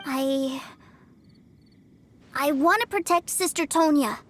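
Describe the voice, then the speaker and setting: Game casting: innocent, excitable small boy, extremely high-pitched, earnest and childlike. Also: slow pace, a little hiss or hum. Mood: hesitant, pleading. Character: eager, innocent, stubborn little boy. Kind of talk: video game voice acting. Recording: game audio with background music.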